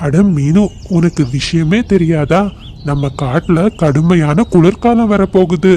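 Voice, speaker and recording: A man's voice: fairly high pitch, measured pace, dark tone, slight background noise, close to an everyday voice. A man playing a friendly elder sparrow in a cartoon, earnest and advising. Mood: cautioning.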